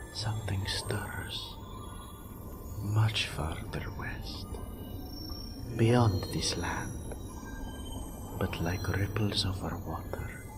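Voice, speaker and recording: A serpentine male oracle acted by a man speaks in a deep, gravelly half-whisper. He takes his time over each phrase, proud and mystical, with a tender, teasing streak. It has the close, intimate feel of a roleplay audio drama. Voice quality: breathy, whispery, gravelly low voice. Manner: speaks of himself in third person, slow and deliberate.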